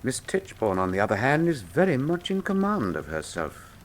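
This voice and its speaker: Clever victorian detective, formal n dry, really animated pitch, radio drama, slight hiss. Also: male voice, normal speed. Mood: dry, analytical. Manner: precise, formal, dryly witty. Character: brilliant, cool Victorian consulting detective, dryly macabre. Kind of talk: full-cast radio drama acting.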